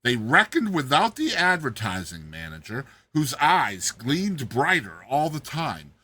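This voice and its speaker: A man reads a classic story aloud as a dramatic narrator. His voice sits a little high and swings widely in pitch, with a bright, forceful edge. The tone is rough rather than smooth, and the delivery is expressive and theatrical. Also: a little slow. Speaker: story narrator, show host. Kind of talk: dramatized audiobook reading of a classic satirical story.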